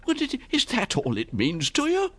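An actor playing a jovial, fond English gentleman merchant in a radio drama, with an effusive, stammering manner. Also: very high voice, wildly swooping pitch, moderate pace, full character voice. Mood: elated. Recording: radio drama with faint music.

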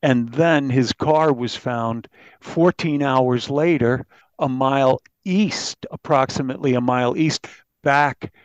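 A man, a newspaper reporter, explaining the details of a case in an interview in a halting, detailed way. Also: medium pitch, clear, resonant tone, dry recording, highs cut off.

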